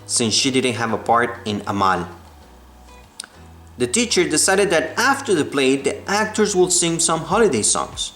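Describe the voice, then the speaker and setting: A man's voice: medium pitch, a bit bright. Man reading a storybook in an echoey room. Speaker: read-aloud narrator of a children's book. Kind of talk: storybook read-aloud. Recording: roomy echo, music behind the voice.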